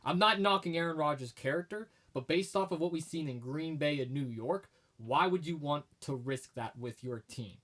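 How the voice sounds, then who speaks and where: A man's voice: fairly high pitch, normal speed, a bit bright. A man, co-hosting a casual sports podcast, making emphatic, opinionated predictions while starting to lose his voice. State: losing his voice. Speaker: podcast co-host.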